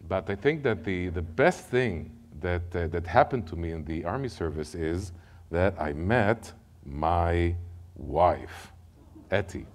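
A middle-aged Israeli man with an Israeli accent giving a testimony sermon from a church podium, telling his story in an animated way and often repeating words as he goes. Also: low voice, slow pace. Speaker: guest speaker. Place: church sanctuary, large room.

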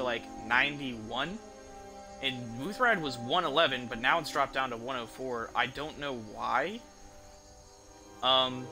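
A young man giving casual gameplay commentary in a rambling, halting way, streaming from an indoor room. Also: mid-range voice. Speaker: game streamer. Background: game music.

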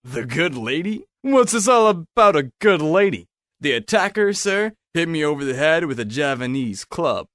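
Swooping, boastful hotel detective. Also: male voice, on the high side, very animated, moderate pace, fairly bright tone, no room sound, studio quality. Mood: indignant, cocksure. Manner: pompous, rattling off absurd deductions. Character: boastful, bumbling 1930s hotel detective, a man. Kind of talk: audio drama acting.

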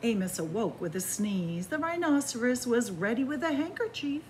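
A woman reading a picture book aloud expressively, with deliberate phrasing and dramatic pauses. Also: a little high, lively intonation, darkish tone, a little hiss or hum. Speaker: librarian reading picture books aloud to children. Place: indoors in a library room with bookshelves.

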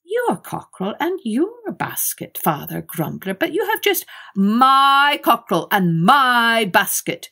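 A woman's voice: high voice, wildly swooping pitch, a little slow, vocal fry, hardly any pauses, voice pushed up, exaggerated voice. Woman playing bossy, grumbling Father Grumbler. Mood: angry. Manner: loud, commanding, scolding.

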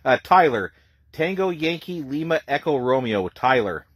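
A man's voice: mid-range voice, big pitch swings, moderate pace, slightly rough, no echo, clean studio sound. A man working as an amateur radio operator, speaking plainly in radio jargon and repeating details for clarity. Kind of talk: ham radio contact, phonetic spelling.

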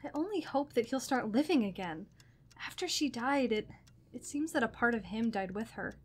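A woman's voice: a little high, lively intonation, normal speed, breathy edge. A woman playing an anxious, grieving young fiancée in a horror radio drama, hesitant and pleading. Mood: sad, worried. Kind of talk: old-time radio horror drama acting.

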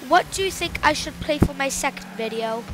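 A woman giving loud, excitable, casual commentary while playing a video game, exclaiming often. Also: sits high, brisk, background noise. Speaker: gameplay commentator. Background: game music.

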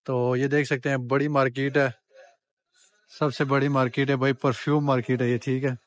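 A man's voice: mid-range voice, little pitch movement, normal speed. Man, travel vlogger, chatty, haggling over prices, outdoor market, handheld camera. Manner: chatty, bargains with shopkeepers, addresses viewers as brothers. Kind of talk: walking-tour vlog narration.

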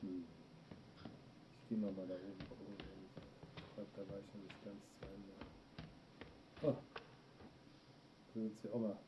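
Low-voiced German man streaming a game. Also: level tone, a little slow, pauses often. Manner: talks to himself while playing, reacts to chat. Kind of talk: let's play commentary. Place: small room indoors. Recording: dull stream audio with noise.

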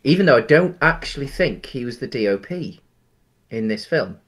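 A man's voice: medium pitch, animated, normal speed, fairly bright tone. A man, co-hosting a casual film chat over a video call, rambling through restarts. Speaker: podcast co-host. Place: home room on a video call.

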